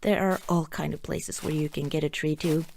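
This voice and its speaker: A woman with a Norwegian accent playing a cheerful, affectionate girlfriend in an ASMR roleplay, soft-spoken, chatty and a little hesitant. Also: sits low, steady tone, a little fast, vocal fry, slight background noise. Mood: chatty, musing.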